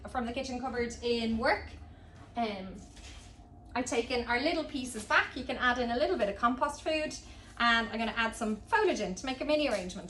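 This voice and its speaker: A woman's voice: on the high side, fairly bright tone. A woman giving a chatty, informal hands-on tutorial as a florist, talking casually as she demonstrates. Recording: roomy echo, distant mic, background noise.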